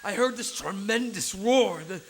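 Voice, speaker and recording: An actor playing a rebellious, obsessed son in a theatrical audio drama, speaking fervently with halting repetitions. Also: male voice, sits high, lively intonation, fairly bright tone, a little hiss or hum, heavily acted. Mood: impassioned. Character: young rebellious son, obsessed, confessing his escape. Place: stage before a studio audience. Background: music.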